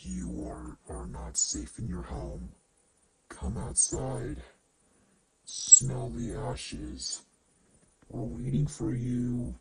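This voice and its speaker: A man acts out a menacing male kidnapper from a horror broadcast. He speaks extremely slowly, ominous and taunting. A clear bed of crowd-like noise sits behind the voice. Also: mid-range voice, fairly even intonation. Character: menacing sinister kidnapper luring listeners from their homes.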